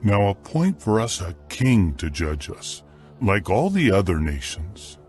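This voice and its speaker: An actor playing a firm Israelite elder making a formal petition in a dramatized scripture recording. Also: male voice, fairly low pitch, big pitch swings, unhurried, a little mellow, soft background music. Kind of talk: dramatized scripture audio acting.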